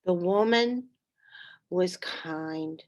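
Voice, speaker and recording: A woman reading a children's story aloud in an expressive, deliberate way. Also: on the low side, muffled recording. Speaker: storybook reader. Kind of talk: scripted children's story narration.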